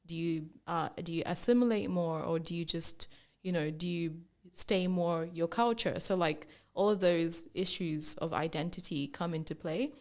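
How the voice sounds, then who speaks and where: A woman's voice: fairly low pitch, moderate pace. A young woman from Zambia, a fashion brand founder, telling her story in a casual interview with lots of "like"s and acted-out quotes. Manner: animated storyteller, acts out quoted remarks, many "like"s.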